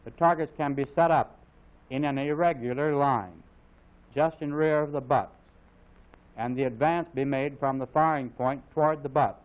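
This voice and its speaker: A man with an American accent narrates an old military training film. His delivery is formal and authoritative, reading each instruction in a measured, scripted way. The sound is very narrow and tinny, like a vintage film soundtrack, with his voice at an ordinary male pitch.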